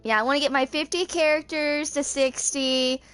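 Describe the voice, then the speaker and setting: A woman in her twenties with a fairly high voice talks through her gameplay. She rambles casually and thinks aloud, as a streamer does while sorting out what to do next. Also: fairly even intonation, moderate pace, fairly bright tone, faint music behind. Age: 20s. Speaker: video game streamer.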